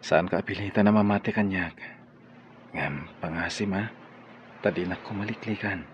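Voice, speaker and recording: An actor with an Ilocano accent playing an ardent gentleman pleading his love in a radio drama. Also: sits low, moderate pace, dull audio, slight background noise, natural voice. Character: ardent gentleman employer wooing his maid.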